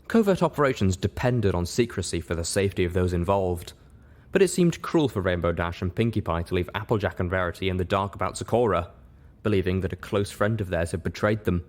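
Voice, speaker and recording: Male audiobook-style narrator, low pitch, scripted story reading. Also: lively intonation, fairly quick. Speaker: reader, narrator.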